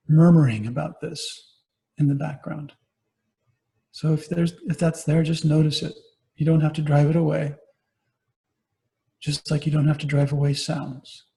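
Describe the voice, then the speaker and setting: Mellow male meditation guide, unhurried. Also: fairly high pitch, creaky. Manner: gentle, unhurried instruction with pauses. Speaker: meditation teacher, Buddhist monastic. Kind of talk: guided body scan meditation.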